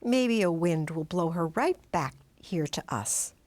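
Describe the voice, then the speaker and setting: Woman reading as a loving, expressive grandma. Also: lowish voice, measured pace, voice pushed down. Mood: comforting. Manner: affectionate, gently warning. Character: Grandma, loving, gently cautioning older woman. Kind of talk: children's storybook character voice.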